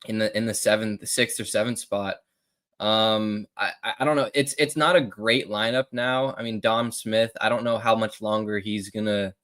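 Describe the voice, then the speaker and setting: Hesitant male host, low-pitched, filler-heavy. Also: a bit bright. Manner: hesitant, many ums and restarts. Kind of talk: casual sports analysis.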